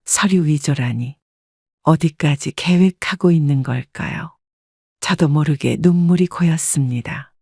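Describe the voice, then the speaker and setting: A woman narrating a first-person family story in a calm, measured manner. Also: low-pitched, creaky, no echo, clean studio sound.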